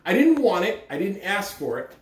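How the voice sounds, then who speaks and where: A man's voice: sits high, big pitch swings, normal speed, recorded from a distance. A middle-aged man speaking expressively to children, like a school announcer reading aloud. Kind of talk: morning announcements and children's story read-aloud. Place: living room, indoors. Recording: roomy, recorded away from the mic.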